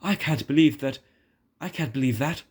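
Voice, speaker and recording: A man's voice: mid-range voice, normal speed, husky, close to an everyday voice. A man voicing a jealous, self-important steam engine who complains indignantly in a children's storybook.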